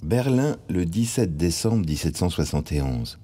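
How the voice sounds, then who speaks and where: An eighteenth-century mathematician writing home. He is formal and dignified, with a very deep, dark and husky tone. Each phrase comes measured and courteous. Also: big pitch swings, full character voice. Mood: warm, formal. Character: 18th-century male mathematician writing fondly to his brother. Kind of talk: read-aloud historical letter.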